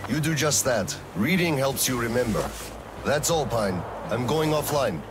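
A man's voice: mid-range voice, animated, a little slow, noisy background, close to an everyday voice. A man playing a stern but loving middle-aged colonel and father in a dramatic video game, speaking in a terse, commanding way. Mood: businesslike.

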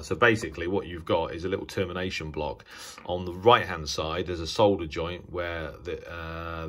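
A British man giving a casual, unscripted hobbyist demonstration, speaking haltingly and often restarting his sentences. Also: low voice, sharp tone. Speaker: radio hobbyist presenting a video. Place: indoors at a table.

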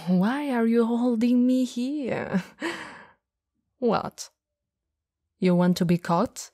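A woman performs a playful, teasing goth older sister in a romantic ASMR roleplay. Her voice is dark and husky with breath, often slipping toward a whisper. She sits very close to the mic in a dry, intimate recording. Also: fairly high pitch, expressive, very slow, no room sound, heavily acted. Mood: playful, flirty. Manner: soft, breathy, drifting into whispers. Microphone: close, dry studio mic.

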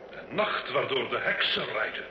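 A man's voice: mid-range voice, sing-song, moderate pace, lightly acted. A male actor playing a proud, volatile nobleman who dabbles in devil worship, with haughty, theatrical, formal delivery in an old radio drama. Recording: dull, hissy old radio recording, roomy.

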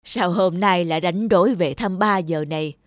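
An actor voicing a kindly elderly father in a cartoon, calm, unhurried and concerned. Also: medium pitch, no echo, clean studio sound, natural voice. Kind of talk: children's cartoon dubbing.

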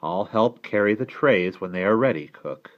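A man's voice: lowish voice, animated, normal speed, lo-fi sound, close to an everyday voice. A man voicing a polite, meek young witch girl who is eager to help in a children's storybook. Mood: eager, helpful. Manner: polite, earnest. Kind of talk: children's storybook read-aloud.

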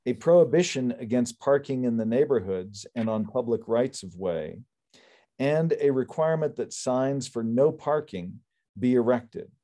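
Mellow-voiced older man, formal and measured. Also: medium pitch. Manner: measured, deliberate, enumerating points. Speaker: attorney for appellants at a public hearing. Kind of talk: formal prepared legal summary. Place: indoor room on a video call. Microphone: computer video call microphone.

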